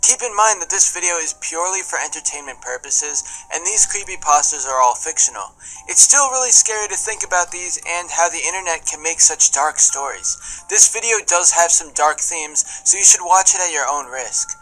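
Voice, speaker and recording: Bright, high-pitched male narrator over music. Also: lively intonation, moderate pace, low-quality recording. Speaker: online video narrator. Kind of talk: scripted narration. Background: background music.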